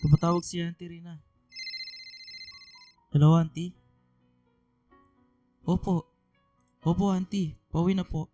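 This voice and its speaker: A scared, defensive young student in a radio drama, played by a man. He speaks hesitantly, close and dry, with soft music behind him. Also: on the high side, flat delivery, unhurried, long pauses, dry recording, natural voice. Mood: evasive. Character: scared, evasive young student boyfriend, reluctant father.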